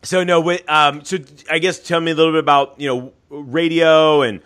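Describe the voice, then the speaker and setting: A man's voice: a little high, fairly even intonation, moderate pace, fairly bright tone, clean tone. A man hosting a podcast interview, affirming his guest and using many fillers as he asks questions. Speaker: podcast host. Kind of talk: conversational interview.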